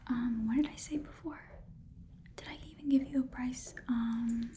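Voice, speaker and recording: A woman's voice: high voice, steady tone, moderate pace, dark tone, a little hiss or hum, natural voice. A woman playing a chatty, nosy goblin pawn shop keeper in a whispered ASMR roleplay, rambling casually and muttering repeated words. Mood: friendly haggling.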